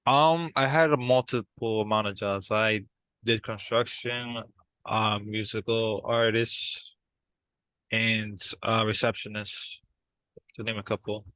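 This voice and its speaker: Young male therapy client, played by a man: hesitant, bright low voice, flat delivery. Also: clear, resonant tone, no room sound, low-quality recording. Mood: hesitant, earnest. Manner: halting, hesitant. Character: soft-spoken restless young man in couples-style therapy, aspiring drummer. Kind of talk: improvised therapy role-play.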